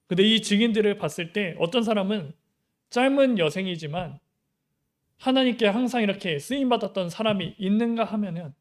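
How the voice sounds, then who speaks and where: High-voiced man preaching conversationally. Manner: conversational preaching with many rhetorical questions. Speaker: pastor. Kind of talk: sermon.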